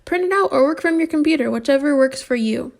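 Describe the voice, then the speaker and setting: A woman's voice: high-pitched, fast, gravelly. A woman presenting an instructional lesson for young children as their teacher.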